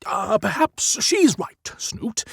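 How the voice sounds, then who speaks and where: A man voicing a weary but authoritative Santa Claus in an audiobook, measured and mildly formal. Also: high-pitched, big pitch swings, vocal fry, breathy edge, no room sound, studio quality, voice pushed up, full character voice. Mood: reluctant. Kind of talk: cinematic audiobook character acting.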